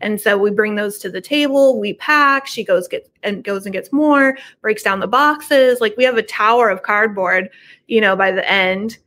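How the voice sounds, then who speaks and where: A woman's voice: medium pitch, normal speed, fairly bright tone, slight background noise. A middle-aged woman talking casually as an interview guest over a video call from a room at home. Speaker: podcast guest, horror book subscription business owner.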